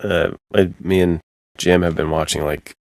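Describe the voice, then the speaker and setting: Man, very deep voice, casual co-host banter, enthusiastic bro slang, dry close sound. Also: flat delivery, normal speed, a little mellow, no room sound. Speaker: podcast co-host. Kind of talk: casual chat about spy films.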